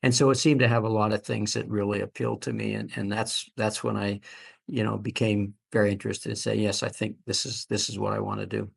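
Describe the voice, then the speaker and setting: Gravelly-voiced man in his seventies. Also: on the low side, level tone, brisk. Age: 70s. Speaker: fertility doctor, interview guest. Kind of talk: reflective conversational interview.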